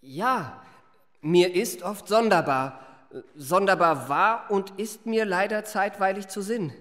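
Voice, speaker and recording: A man's voice: high-pitched, lively intonation, takes it slowly, a bit bright, dry recording, clean studio sound, exaggerated voice. An actor playing an eloquent, well-bred young confidence man in a radio play, speaking in ornate, elaborately polite phrases. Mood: earnest, flustered. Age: young adult. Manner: ornate, elaborately polite, self-regarding diction. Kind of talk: literary radio play narration and dialogue.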